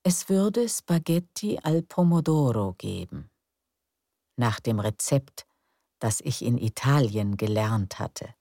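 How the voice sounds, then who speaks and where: A woman narrating a calm bedtime story in a slow, soft, soothing way. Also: very deep voice, no echo, studio quality.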